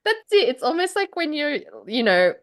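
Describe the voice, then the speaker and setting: A woman's voice: high voice, big pitch swings, normal speed, fairly bright tone. A woman co-hosting a casual podcast chat, often laughing as she talks. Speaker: podcast co-host and writer.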